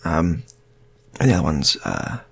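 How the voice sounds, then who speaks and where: Male storyteller for improvised tales: low, gravelly, breathy-husky, halting and repetitive, casual delivery. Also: wildly swooping pitch.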